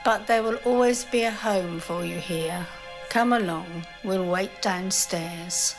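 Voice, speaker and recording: A woman playing a genteel, motherly older mistress of a manor in an audio drama, speaking in a measured way. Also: on the low side, music in the background. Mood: firm, motherly.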